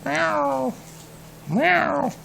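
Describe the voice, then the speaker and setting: A man's voice: extremely high pitch, drawn-out words, creaky, a bit bright, a little hiss or hum, pitched above the performer's own voice, full character voice. A man voicing a meowing cat from a storybook. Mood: plaintive.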